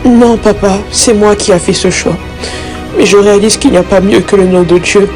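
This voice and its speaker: A woman's voice: mid-range voice, steady tone, normal speed, lightly acted. Woman acting guilt-ridden young daughter over music. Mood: remorseful. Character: troubled young daughter, guilt-ridden, repentant, fearful of death. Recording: background music under the voice.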